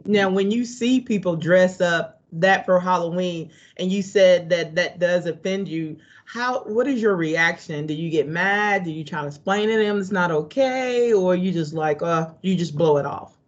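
A woman's voice: fairly low pitch, moderate pace, few pauses. A middle-aged woman hosting an informal interview over a video call. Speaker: seminar host and interviewer. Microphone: video call microphone. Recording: dull, lo-fi video call audio.

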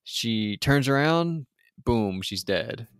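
A man co-hosting a casual podcast recap, drifting through the plot with lots of "like" and false starts. His voice sits in an ordinary middle pitch. The recording is dry and close, with no room sound. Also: animated, slow, smooth, dry recording. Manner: rambling, lots of "like" and restarts. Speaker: podcast co-host. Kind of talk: casual chat recapping a TV episode.

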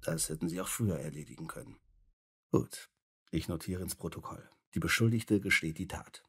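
A man's voice: deep voice, normal speed, gravelly, a bit bright, husky, heavily acted. A man acting a stern, officious enforcer with a brusque, bureaucratic manner in a radio play. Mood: cold, officious.

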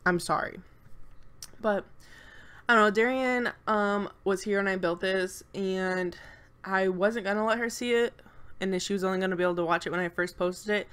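A woman's voice: medium pitch, steady tone, moderate pace, a bit bright, smooth. A young woman with a congested, stuffed-up nose, talking casually and nervously over a game build at home, rambling with lots of fillers. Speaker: channel co-host.

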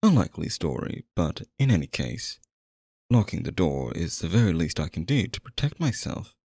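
A courtly, obsessive male stage magician, acted out by a man for an ASMR roleplay. His voice sits fairly low and moves with animated, theatrical swings. He flatters and coaxes the listener in genteel, flowery phrases, recorded close and dry. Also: moderate pace, gravelly, no room sound, exaggerated voice. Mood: suave, hospitable.

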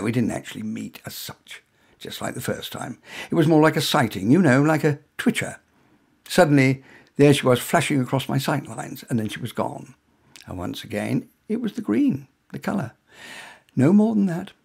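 A middle-aged man with a gravelly, husky voice reads his own story aloud. His delivery is dry and wry, conversational, with asides and small hesitations. His pitch moves around a lot. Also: darkish tone. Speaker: author reading his own short story. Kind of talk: first-person story narration.

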